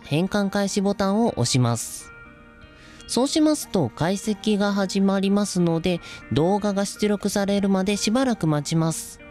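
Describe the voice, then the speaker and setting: A man's voice: sits high, darkish tone. A man of thirty-one with a youthful, feminine-sounding voice, presenting a scripted explainer politely to viewers. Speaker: video host. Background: background music.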